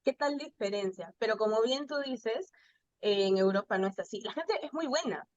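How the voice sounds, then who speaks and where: A woman's voice: fairly high pitch, normal speed, highs cut off. Chatty Peruvian woman telling anecdotes. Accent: Peruvian Spanish. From Peru. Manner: chatty, animated anecdotes with quoted dialogue. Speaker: guest, pastry chef. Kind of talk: casual conversational storytelling.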